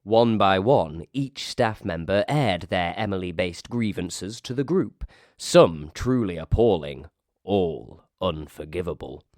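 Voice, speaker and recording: Low-voiced man narrating a wry story. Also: animated, slow pace, a little mellow, no room sound, clean studio sound. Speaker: reader of a ghost story.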